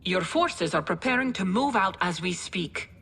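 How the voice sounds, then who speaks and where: A woman's voice: fairly low pitch, animated, moderate pace, fairly bright tone, a little hiss or hum. An actress playing a polite female staff officer, giving a formal, courteous mission briefing for a video game cutscene.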